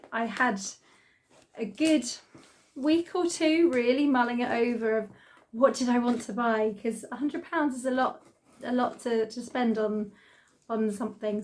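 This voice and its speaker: A middle-aged woman with a British accent, her voice croaky as she recovers from laryngitis. She chats in a friendly, excited way with plenty of ums, pitched a little high for a woman. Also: a little hiss or hum. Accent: British English. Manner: chatty, excited, many ums. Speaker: sewing channel host. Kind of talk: casual vlog chat.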